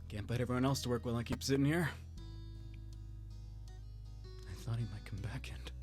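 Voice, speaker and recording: A kindly, apologetic dad from a visual novel, acted by a man. He speaks at a middling male pitch, polite but hurried and halting. Faint music plays underneath. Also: natural voice. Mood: flustered, grateful. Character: flustered, apologetic, kindly father new in town. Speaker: voice actor in a fan dub. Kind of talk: visual novel fandub acting.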